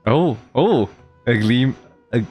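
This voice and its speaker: A man's voice: mid-range voice, lively intonation, slow, darkish tone. A man giving casual playthrough commentary as a video game streamer. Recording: game music behind the voice.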